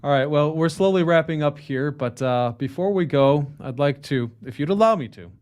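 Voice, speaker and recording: A man's voice: a little high, normal speed, a little mellow, smooth. A man hosting a podcast interview, asking questions in a friendly, joking way. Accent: non-native speaker of Polish, foreign. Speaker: podcast host.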